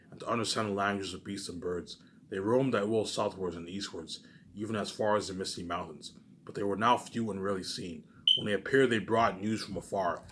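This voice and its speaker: Guy with a low voice reading a fantasy book out loud to his viewers, keeps stumbling and repeating himself, jumps into excited asides. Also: slight background noise. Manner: stumbling, repeats words, breaks off with rhetorical questions; emphatic, animated asides. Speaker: video creator doing a book readalong. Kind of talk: casual reading aloud with commentary.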